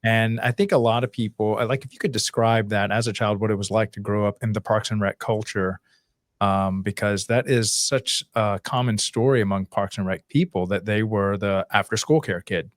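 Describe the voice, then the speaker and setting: A man's voice: lowish voice, fairly even intonation, moderate pace, no echo, studio quality. A man hosting a podcast, interviewing his guest in a casual, conversational style.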